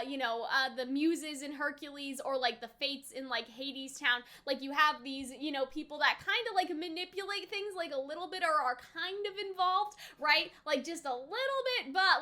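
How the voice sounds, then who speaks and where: A woman in her twenties giving a casual, rambling reaction and review, animated and peppered with filler phrases like "you know". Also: high-pitched. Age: 20s. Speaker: reaction video host and reviewer.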